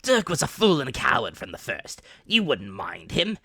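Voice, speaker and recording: Blind old pirate villain , acted by a male reader: raised, shouted pitch, commanding, vicious, storybook adventure. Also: wildly swooping pitch, normal speed, gravelly, breathy edge, dry recording, studio quality, full character voice. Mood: enraged, scornful. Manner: shouting, barking orders. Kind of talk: dramatic audiobook character voice.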